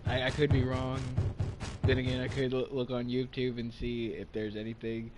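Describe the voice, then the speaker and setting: A man's voice: mid-range voice, little pitch movement, normal speed. A man doing casual live-stream game commentary, rambling and repeating himself. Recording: loud music behind. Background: game music.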